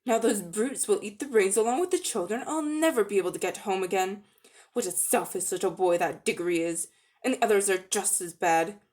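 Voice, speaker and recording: Woman reading as a grumbling, selfish old gentleman. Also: medium pitch, fairly quick, close to an everyday voice. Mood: indignant self-pity. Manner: grumbling, self-pitying.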